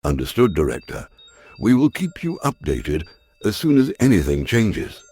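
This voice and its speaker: Low, husky actor as resolute science professor. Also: male voice, huge pitch swings, moderate pace, dark tone, faint music behind, full character voice. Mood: defensive, resolute. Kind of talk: audio drama acting.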